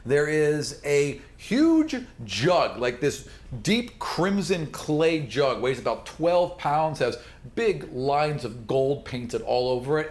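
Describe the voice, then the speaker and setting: A man running a tabletop role-playing game, describing things in an informal, off-the-cuff way with casual asides. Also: medium pitch. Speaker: game master.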